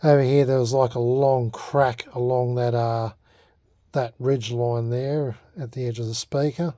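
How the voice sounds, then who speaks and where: Mellow Australian man, casual and laid-back. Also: medium pitch, level tone, measured pace, no room sound, studio quality. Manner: hesitant, self-deprecating, laid-back. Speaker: vlogger. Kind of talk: casual hobby restoration vlog, unscripted.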